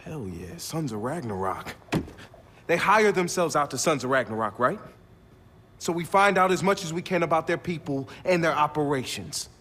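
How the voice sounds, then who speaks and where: A confident, streetwise young hacker in a game cutscene. His voice sits fairly high and moves around a lot, slangy and determined, over faint background noise. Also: expressive, moderate pace, pushed and bright. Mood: determined, fired up. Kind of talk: video game cutscene acting.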